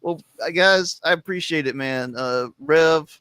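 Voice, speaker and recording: A man's voice: on the high side, a little slow, sharp tone, clear, resonant tone, no room sound, clean studio sound. A man hosting a casual roundtable stream, rambling with lots of fillers and frequent laughs. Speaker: host of a comics stream. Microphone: remote stream mic.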